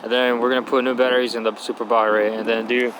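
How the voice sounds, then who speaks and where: A man's voice: mid-range voice, level tone, racing. A young man from Ukraine hosting his RC car channel, chatting in a casual, laid-back way outdoors on a windy day. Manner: laid-back, chatty, loose phrasing with "dude" and "like". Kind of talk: casual vlog chat.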